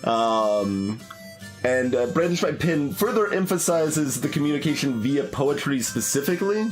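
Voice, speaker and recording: A man's voice: mid-range voice, animated, a little slow. A man giving casual, analytical game commentary and reading poems aloud, hesitating as he thinks things through. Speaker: video game streamer doing a blind playthrough. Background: soft plucked guitar music.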